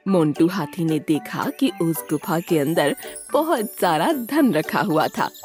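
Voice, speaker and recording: A woman narrating a scripted children's story in an expressive storytelling manner. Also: fairly low pitch.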